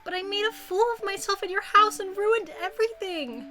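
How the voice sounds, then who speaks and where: Very high and girlish, this is a teenage schoolgirl from a visual novel, acted by a woman. She is coy and teasing but easily embarrassed. Music plays clearly behind her. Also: a little fast, pushed and bright. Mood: embarrassed, upset. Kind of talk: visual novel character acting.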